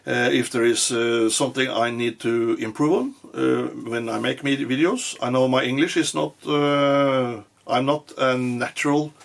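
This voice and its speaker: A man whose first language is Norwegian, giving a casual firearm review in English with frequent pauses and restarts. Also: medium pitch, vocal fry. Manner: halting, many fillers and restarts. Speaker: gun reviewer.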